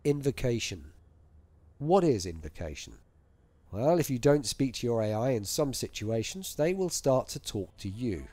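A man's voice: mid-range voice, sing-song, normal speed, mellow. Male promo narrator over music. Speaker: presenter and player giving commands. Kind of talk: scripted promotional narration with voice commands. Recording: music behind the voice.

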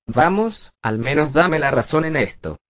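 A man's voice: fairly high pitch, lively intonation, unhurried, no room sound, dull audio. Man playing an earnest young son, dry sound. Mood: earnest, argumentative. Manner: questioning, earnest. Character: argumentative young shop worker, earnest son. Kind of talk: amateur Spanish dub acting.

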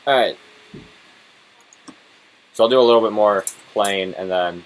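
A man's voice: on the low side, a little slow, low-quality recording. A man giving casual, foul-mouthed and grumbling commentary as he plays a video game. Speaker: let's-play host playing and commenting. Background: video game sound.

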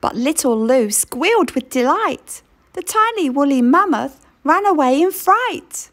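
A woman reading a children's picture book aloud in an expressive storytelling way. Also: sits high. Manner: expressive storytelling, slow and lilting.

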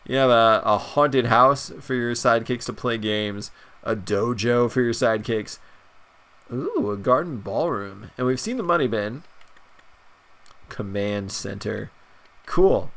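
A young man with a stuffed-up nose, chatting excitedly and rambling through a video game's shop menus as a gaming channel host. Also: medium pitch. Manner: excited, rambling, many fillers. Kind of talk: casual gameplay commentary.

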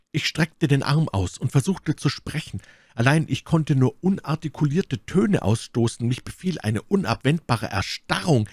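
A man's voice: medium pitch, a little mellow, dry recording, clean studio sound, voice pushed up, close to an everyday voice. A man voicing a scheming captive noblewoman. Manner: dramatic, pleading and scornful. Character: scheming noblewoman prisoner, proud and manipulative. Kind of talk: audiobook character reading.

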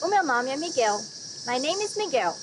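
Young woman from brazil, high voice, patient explainer, insects chirping outside. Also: big pitch swings, normal speed. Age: 20s. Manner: bright explanatory, models phrases and answers. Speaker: language teacher. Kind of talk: scripted phrase lesson. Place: outdoors among greenery. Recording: outdoor insect noise.

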